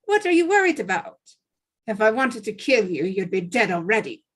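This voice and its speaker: A woman's voice: mid-range voice, big pitch swings, moderate pace, husky. A woman playing a falsely friendly, coolly threatening gangster kidnapper in a script table read, drawing out mock-cheery words.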